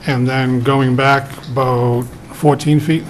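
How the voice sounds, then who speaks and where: A man's voice: medium pitch, little pitch movement, slow pace, a little hiss or hum. A man applying to a town board, explaining his project in a plain, cooperative way. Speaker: applicant. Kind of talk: public meeting discussion.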